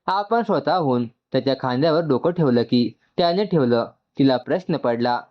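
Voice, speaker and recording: A man's voice: medium pitch, animated, normal speed. Male storyteller, slightly dull lo-fi recording. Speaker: story narrator. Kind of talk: scripted narration of an emotional story.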